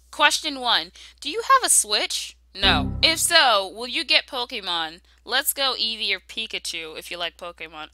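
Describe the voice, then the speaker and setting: A woman's voice: mid-range voice, sharp tone, no room sound. A woman answering viewer questions in a casual, sarcastic, slangy way, often exclaiming. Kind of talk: casual sassy Q and A commentary.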